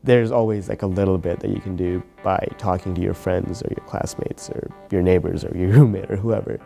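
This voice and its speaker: A young man from Bangladesh speaks in a relaxed, easygoing way, low and a little gravelly. His tone is mellow and dark. Soft music plays faintly underneath. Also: brisk. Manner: relaxed, easygoing, with fillers and small laughs. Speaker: student group founder. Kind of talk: interview.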